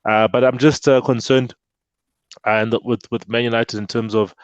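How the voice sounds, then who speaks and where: A man's voice: mid-range voice, moderate pace, no echo, dull audio. A man co-hosting a casual football show, talking with many fillers and restarts. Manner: hesitant, many fillers and restarts, excitable jokes. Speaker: co-host.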